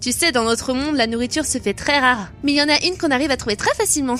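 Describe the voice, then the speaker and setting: A woman's voice: high-pitched, fast talker, fairly bright tone, soft background music. A woman playing a friendly, caring, teasing comrade in an amateur audio drama.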